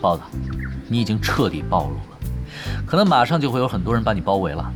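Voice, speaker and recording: Laid-back gamer boss, dry teasing, lively fast talk, music behind. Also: male voice, lowish voice. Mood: dry, exasperated. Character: laid-back wry male gamer team leader. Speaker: voice actor, lead character. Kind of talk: Chinese radio drama dialogue.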